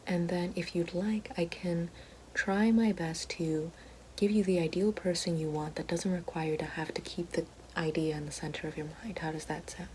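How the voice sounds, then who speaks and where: Girl doing sassy washed-up evil fairy, asmr whispering and soft lowish talk, slangy and sarcastic, some noise behind it. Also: steady tone, moderate pace, lightly acted. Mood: soft, explaining. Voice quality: breathy whisper mixed with soft low speech. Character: bitter, sassy fallen evil fairy turned help-line worker.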